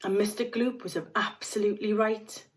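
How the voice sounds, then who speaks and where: A woman's voice: medium pitch, steady tone, normal speed. A woman, a teacher reading aloud, narrating a children's story expressively.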